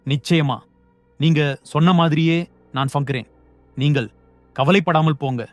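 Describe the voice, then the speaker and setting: A man's voice: on the high side, measured pace, no room sound, lo-fi sound, soft background music, close to an everyday voice. A man voicing a kindly innkeeper in a children's cartoon, speaking politely and reassuringly. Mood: polite, helpful. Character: kindly adult male innkeeper, helpful. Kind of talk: cartoon voice acting.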